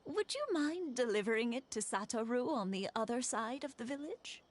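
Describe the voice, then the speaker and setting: An actress playing a gentle, weary elderly village woman in a video game, sighing and doting. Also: fairly high pitch, lively intonation, moderate pace, slight background noise, close to an everyday voice. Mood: weary, wistful. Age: older. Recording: music behind the voice.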